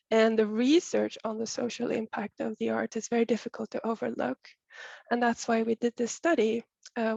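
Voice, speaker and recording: A woman presents her research over a video call in a measured, careful way. A cold leaves her voice raspy and gravelly, and she clears her throat now and then. The call audio is dry and somewhat dull. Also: on the high side, darkish tone, dry recording. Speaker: seminar presenter. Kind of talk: academic presentation.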